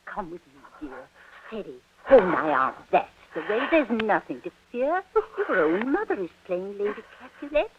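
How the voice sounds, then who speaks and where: A woman's voice: medium pitch, very animated, normal speed, natural voice. An actress playing a motherly, protective aunt backstage, in 1940s radio drama style. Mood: reassuring, firm. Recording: dull, noisy 1940 radio recording.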